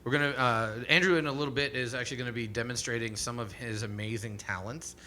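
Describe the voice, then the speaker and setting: A man with a bright, forward voice hosts a live stream chat, rambling and swearing freely as he talks with his guest. A faint hum sits under the recording. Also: mid-range voice, steady tone, fast talker. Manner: rambling, profane, laughs often. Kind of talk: casual interview chat.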